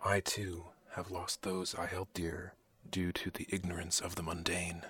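A woman voicing a kind older male wizard who speaks softly and calmly, sometimes close to a whisper. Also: rumbling low, pitched below the performer's own voice. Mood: gentle. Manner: soft, slow and gentle, often near a whisper. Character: kind old male wizard, calm and protective.

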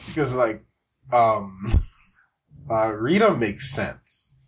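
A man's voice: lowish voice, expressive, very slow, breathy edge. A man chatting casually on a podcast in a questioning, incredulous way. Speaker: podcast host. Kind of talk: casual chat.

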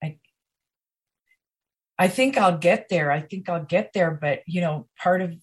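A woman guest on a podcast, talking slowly, emphatically and intimately, with pauses. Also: on the low side, slightly rough. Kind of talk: conversational podcast interview.

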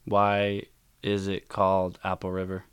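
A man guesting on a podcast, chatting casually with dry, deadpan wisecracks in a naturally loud voice. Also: low-pitched, a little slow, smooth, no room sound. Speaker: podcast guest, community moderator. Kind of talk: casual chat.

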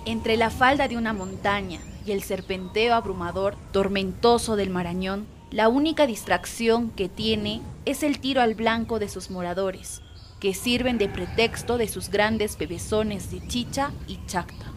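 A woman's voice: mid-range voice, unhurried, slight background noise. Woman narrating a radio story, faint ambience.

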